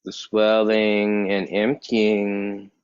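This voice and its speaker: A man guiding a yoga meditation as a teacher, speaking calmly and in measured short phrases with pauses. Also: lowish voice, clean tone, dry recording. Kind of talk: guided yoga meditation instruction. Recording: dull, muffled lo-fi sound.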